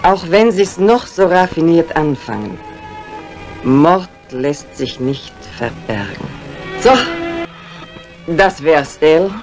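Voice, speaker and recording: An older woman acting a shrewd, composed crime writer in a 1950s radio mystery, speaking with deliberate, theatrical phrasing. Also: deep voice, sing-song, darkish tone, heavily acted, rough recording. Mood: grave, revealing. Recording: vintage radio recording with music.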